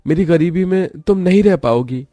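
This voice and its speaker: A man's voice: fairly high pitch, normal speed, dark tone, no echo, clean studio sound, soft background music, natural voice. Man playing a humble poor young man. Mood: earnest. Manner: earnest, apologetic.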